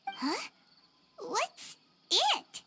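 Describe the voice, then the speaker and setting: A woman voicing a small, childlike female fairy companion in a video game, squeaky, excitable and caring. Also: extremely slow, full character voice. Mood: worried, curious. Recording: game music behind the voice.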